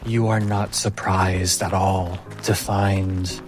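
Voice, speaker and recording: A man narrating a tabletop fantasy game as game master, with deliberate, suspenseful phrasing and dramatic pauses. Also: low voice, little pitch movement. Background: music.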